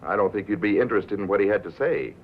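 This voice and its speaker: Actor as a deep-voiced, hesitant doctor, tinny film. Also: male voice, quick pace, natural voice. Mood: reluctant. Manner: hesitant, understated. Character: reluctant, dry, deep-voiced male hospital doctor. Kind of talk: 1950s film drama acting. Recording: tinny, narrow 1950s film soundtrack, faint noise.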